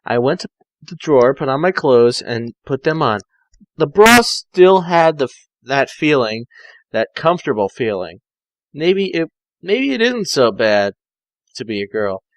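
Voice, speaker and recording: Man reading aloud with very lively pitch. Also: measured pace, dry recording, low-quality recording. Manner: theatrical, often stumbling and self-correcting. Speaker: amateur fan fiction reader. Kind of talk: informal dramatic story reading.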